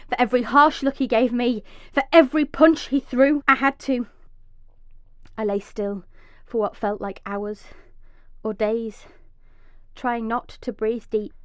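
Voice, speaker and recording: An actress playing a desperate, vengeful mother trapped underground, narrating intensely in a breathy first-person radio drama monologue. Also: on the high side, natural voice. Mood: furious, vengeful, then weak, fearful. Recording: echoey, slightly dull recording.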